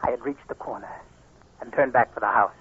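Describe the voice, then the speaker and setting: A man's voice: medium pitch, fairly quick, gravelly, fairly bright tone, slightly rough. An actor playing an anguished, restless blind man in a dramatic 1940s radio style, with brooding delivery. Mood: brooding, tense. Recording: muffled, noisy 1940s radio recording.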